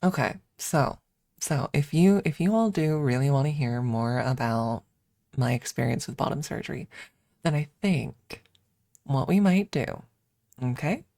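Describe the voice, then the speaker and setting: Woman, very deep pitch, unhurried, casual ASMR chatter, candid rambling, dry close-miked sound. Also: expressive, gravelly, warm tone, dry recording. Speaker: livestream host and ASMR streamer. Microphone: close microphone.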